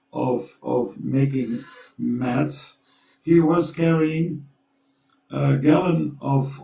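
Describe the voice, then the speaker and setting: A Dutch-accented man talks slowly in a gravelly, mellow voice. He is earnest and urgent, and circles back to repeat his points. He sounds set back from the mic in an echoey room. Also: mid-range voice, recorded from a distance. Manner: urgent, storytelling, repeats himself. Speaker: co-host. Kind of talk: earnest conversational appeal.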